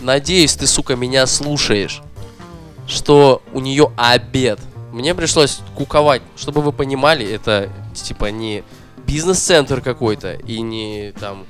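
A man's voice: medium pitch, measured pace, faint music behind. A man on a podcast who tells a story casually and swears often, worked up and ranting. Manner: profane, animated ranting. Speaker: podcast co-host.

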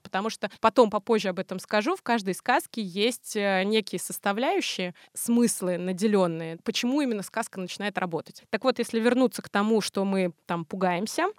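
A woman's voice: medium pitch, dry recording. Woman explaining informally, close dry sound. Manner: informal, illustrates points with everyday examples and diminutives. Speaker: guest expert on psychology. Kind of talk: conversational explaining in an interview.

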